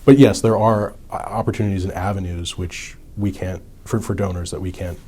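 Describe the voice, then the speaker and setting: Male researcher, deep mellow voice, conversational interview explanation. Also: fairly even intonation, a little fast, slightly rough. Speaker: campaign finance researcher interviewed.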